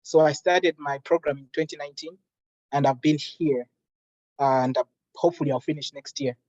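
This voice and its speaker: A young man from Malawi leading a workshop, introducing himself in a relaxed, chatty way. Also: a little high, normal speed, no echo, muffled recording. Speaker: workshop facilitator. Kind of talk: friendly classroom introduction.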